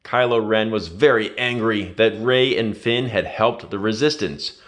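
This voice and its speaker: A man's voice: lowish voice, measured pace, fairly bright tone. A man reading a children's adventure story aloud with dramatic, emphatic storytelling. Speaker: storybook reader.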